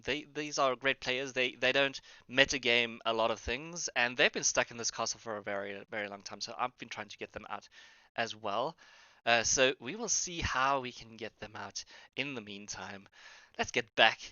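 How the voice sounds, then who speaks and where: Chill game master guy streaming, bright voice, bit muffled lo-fi audio. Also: mid-range voice, clean tone, dry recording. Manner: easygoing, laughs often, chatty with players. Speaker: dungeon master. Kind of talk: casual tabletop game streaming.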